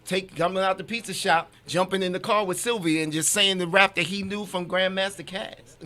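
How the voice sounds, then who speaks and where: A man's voice: high voice, fairly even intonation, moderate pace, bright, forceful tone. A man speaking casually and emphatically as an interview guest, repeating phrases for stress. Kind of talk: casual chat. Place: radio studio, indoors.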